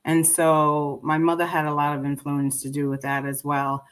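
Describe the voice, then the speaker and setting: A woman's voice: sits low, completely flat delivery, normal speed, clear, resonant tone. A woman from Trinidad, answering interview questions in an earnest, reflective, conversational way. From Trinidad and Tobago. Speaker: interview guest, poet and filmmaker.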